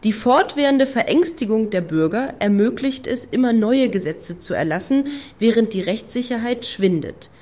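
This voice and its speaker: An actress playing a high-flown club activist in a radio play, grandiose and ironically lofty. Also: female voice, animated, normal speed, darkish tone. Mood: declamatory. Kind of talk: German radio play acting.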